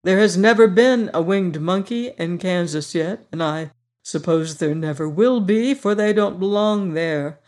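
A reader voicing a dignified, courteous monkey king who speaks in a formal, measured way. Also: medium pitch, a little mellow, close to an everyday voice.

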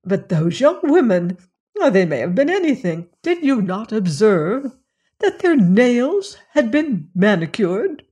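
A woman voicing a condescending, severe elderly society lady in an audiobook story, speaking with deliberate disapproval. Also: medium pitch, very animated, darkish tone, heavily acted. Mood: disapproving.

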